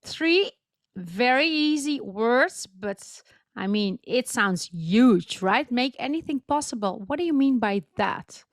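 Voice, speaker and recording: A woman's voice: on the high side, expressive, takes it slowly, dry recording, studio quality. A woman hosting a podcast interview, welcoming her guest and asking questions with careful phrasing. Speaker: podcast host.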